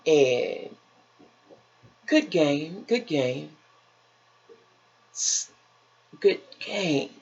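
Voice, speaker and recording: An American woman from Chicago with a husky, gravelly voice, telling personal stories in a casual, chatty, emphatic and folksy manner, repeating phrases for effect. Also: deep voice, expressive, extremely slow, long pauses, a little mellow, lo-fi sound. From Chicago, United States.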